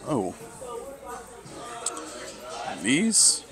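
Slow, musing man in a sneaker store. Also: mid-range voice, huge pitch swings, music in the background. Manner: slow, musing, lots of fillers. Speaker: sneaker vlogger. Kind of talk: casual walk-through commentary. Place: sneaker stores in a shopping mall.

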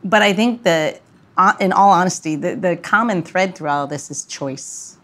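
A woman hosting a casual conversation, speaking earnestly in halting phrases. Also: lowish voice, fairly bright tone. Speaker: podcast host.

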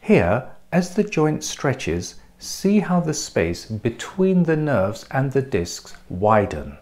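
A man in his forties presenting a clinic's treatment in a measured, explanatory scripted voice, recorded on a lapel mic in a bright treatment room. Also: mid-range voice, sing-song. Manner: measured, explanatory, pointing things out.

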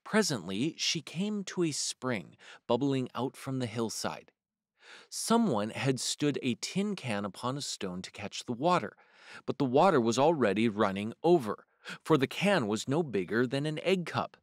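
A man reading a children's picture book aloud with expressive, varied storybook delivery. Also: mid-range voice, a little slow, no room sound, clean studio sound.

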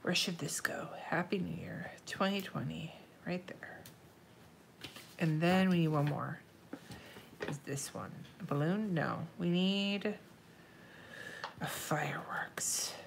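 A woman's voice: fairly low pitch, slow pace, creaky, pauses often, slight background noise. A woman with a stuffy, nasal voice, chatting casually and muttering to herself while decorating a planner on a livestream, answering viewers and swearing now and then. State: congested, nasal from a stuffy nose. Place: indoors at a desk.

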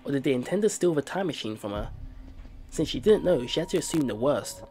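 A man's voice: medium pitch, very animated, brisk, warm tone. A man reading a game's story text aloud for a playthrough, with small stumbles and restarts. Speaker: let's play narrator. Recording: game music playing behind.

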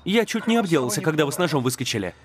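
A man's voice: fairly high pitch, sing-song, very fast, a crowd in the background. A man voicing a cheeky, defensive working-class young man with slangy speech in a Russian TV drama dub. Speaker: dubbing actor.

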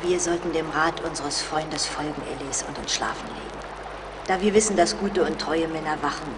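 A woman's voice: low-pitched, normal speed, natural voice. A woman playing a colonel's brave, anxious but composed young daughter in a German audio drama. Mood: composed, soothing. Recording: distant voice, clear background noise.

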